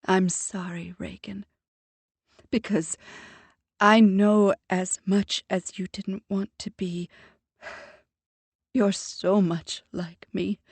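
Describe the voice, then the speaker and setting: A woman's voice: medium pitch, flat delivery, slow pace, pauses often, slightly rough, dry recording. Stern Jamaican mother, read by a woman. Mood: earnest. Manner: formal, commanding, lecturing. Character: proud composed older Jamaican mother. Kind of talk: audiobook character voice.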